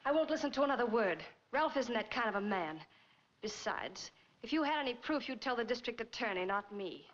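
An American actress playing a poised, defensive young wife in a 1940s film noir. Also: female voice, fairly high pitch, expressive, a little fast, fairly bright tone. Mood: indignant. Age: young adult. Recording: dull 1940s film soundtrack with music.

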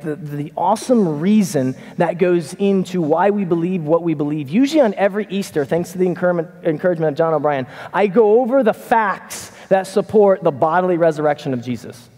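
Middle-aged pastor, casual chatty sermon, kinda high voice, echoey big church. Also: male voice. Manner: conversational, animated, paces the stage. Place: church stage in a large hall. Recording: reverberant hall.

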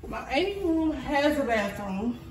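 A young woman with a fairly high voice chats through a casual walking house tour at a slow pace. She often repeats phrases and addresses her viewers directly. The phone sound is noisy and a little distant from her. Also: expressive. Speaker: vlogger giving a house tour. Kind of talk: casual handheld vlog tour. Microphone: handheld phone. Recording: roomy, noisy, voice off from mic.

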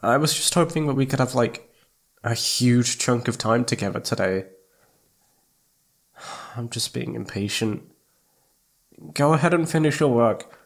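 Guy doing a needy sweet boyfriend asmr thing, soft pleading voice, normal guy pitch, kinda hesitant. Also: measured pace, gravelly, long pauses. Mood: apologetic, tender. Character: needy, affectionate young boyfriend wanting attention. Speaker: audio roleplay voice actor. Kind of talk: intimate ASMR boyfriend roleplay.

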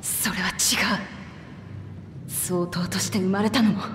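An actress playing a blunt, tomboyish young royal heroine, earnest and compassionate, in a video game. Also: female voice, fairly low pitch, fairly even intonation, a little slow, slight background noise, natural voice. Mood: indignant, consoling. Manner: blunt, boyish phrasing, earnest. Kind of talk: dramatic video game voice acting. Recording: echoey long reverb under music.

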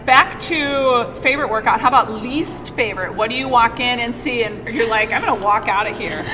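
A woman in her thirties, a gym coach hosting a casual interview, asking quick questions and laughing often. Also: on the high side, long reverb tail, noisy background. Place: gym room, sitting on the floor.

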